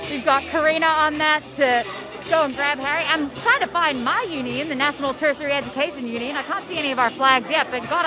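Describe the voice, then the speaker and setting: A woman co-presenting live from a rally, describing the scene in a casual, chatty way. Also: high voice. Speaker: co-presenter, university union member. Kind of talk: live on-air rally report. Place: outdoor street rally. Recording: music behind, outdoors.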